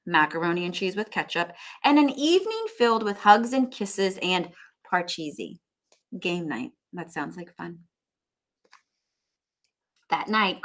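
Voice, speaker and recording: A woman teacher reading a storybook aloud to young children, with expressive emphasis and chatty asides to them. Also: medium pitch.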